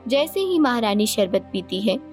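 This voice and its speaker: Female narrator for a scripted cartoon fable, high register, faint music underneath. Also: big pitch swings, normal speed.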